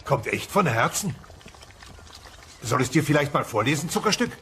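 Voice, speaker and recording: A man's voice: medium pitch, sing-song, moderate pace, very noisy, natural voice. A man playing a bumbling, blustering dinosaur husband and father in a German-dubbed comedy audio play. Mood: wheedling. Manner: evasive, wheedling, blustering.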